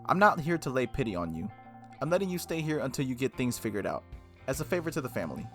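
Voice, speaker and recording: Man voicing a frank, teasing young woman, lively. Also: mid-range voice, fast talker, soft background music. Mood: sympathetic. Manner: frank, teasing, matter-of-fact.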